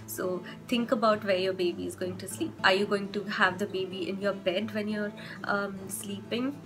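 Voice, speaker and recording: A young woman vlogging with chatty parenting advice, her voice hoarse from a cold, often stopping to rephrase with fillers. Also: mid-range voice, steady tone, normal speed, music in the background, poor audio. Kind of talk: casual advice vlog.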